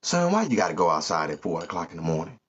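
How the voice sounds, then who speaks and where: A man's voice: deep voice, very animated, quick pace, husky, voice pushed down, full character voice. A man voicing a stern father grilling his young son with pointed questions. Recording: muffled lo-fi recording.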